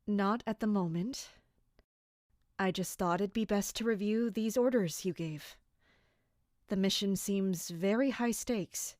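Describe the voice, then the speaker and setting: An actress playing a serious, duty-bound young woman officer in a fantasy audio drama, speaking in a reserved, formal, measured way. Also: medium pitch, a little mellow, dry recording, clean studio sound, natural voice. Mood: formal, careful.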